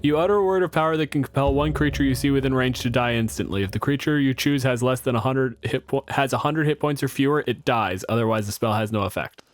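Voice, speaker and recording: A man acting as game master, narrating and explaining rules in a measured, matter-of-fact manner. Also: mid-range voice, no echo. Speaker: game master, podcast host. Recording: music bed behind the voice.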